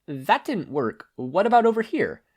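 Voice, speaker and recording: Man voicing an excitable, high-pitched boy hero. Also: normal speed, husky, full character voice. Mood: exasperated. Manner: excitable, playful. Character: cheeky, curious, upbeat teenage boy hero.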